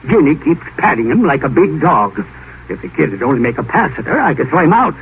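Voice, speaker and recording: An actor playing a gruff, ill-tempered rich old tycoon who grumbles curtly, in mid-century radio drama style. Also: male voice, on the high side, big pitch swings, fairly quick. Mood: uneasy, confiding. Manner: blustering, grumbling, curt. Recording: noisy, tinny 1950s radio broadcast.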